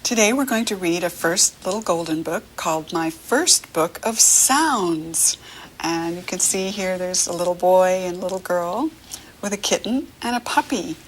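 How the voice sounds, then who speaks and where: American woman, children's read-aloud teacher. Unhurried, very lively pitch, encouraging and full of questions. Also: a little hiss or hum, rough recording. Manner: encouraging, asks questions and leaves room to answer; animated, sing-song child-directed talk. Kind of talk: read-aloud picture-book lesson for young children.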